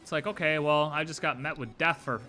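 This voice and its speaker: A streamer reacting live to a game with excitable, sarcastic, joking commentary. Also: medium pitch, fairly quick.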